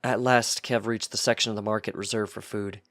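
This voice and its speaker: Low-voiced man narrating a story. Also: little pitch movement, brisk, a bit bright, no room sound, studio quality, faint music behind. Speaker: narrator reading fan fiction.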